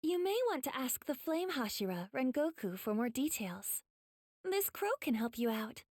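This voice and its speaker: A woman playing a gentle, polite young female demon slayer mentor in an anime game, soft-spoken with a teasing sweetness. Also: sits high, big pitch swings, normal speed, dry recording, clean studio sound. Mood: calm, kind.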